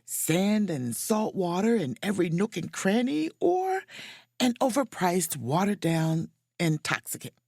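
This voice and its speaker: A woman's voice: lowish voice, very animated, a little slow, gravelly, darkish tone, dry recording, clean studio sound, exaggerated voice. A woman playing a wry, awkward, overworked starship chief mate on vacation in a romantic comedy audio drama, with muttered, self-interrupting asides. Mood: wry, exasperated.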